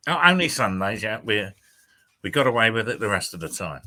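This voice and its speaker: An older man talks as a guest in a casual interview. His voice is fairly low but bright, and he pauses and restarts often, with a dry sense of humour. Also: big pitch swings. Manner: hesitant, many ums and restarts, dry humour.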